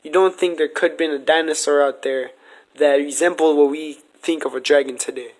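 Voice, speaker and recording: A man's voice: on the high side, steady tone, a little fast, rough recording. A man hosting a podcast, asking questions in a casual interview style.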